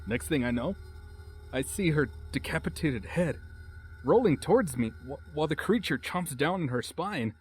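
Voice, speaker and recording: A man playing a traumatised young survivor of a monster attack, halting and stammering in distress. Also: a little high, expressive, soft background music, close to an everyday voice. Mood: traumatised, shaken. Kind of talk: horror voice-over monologue.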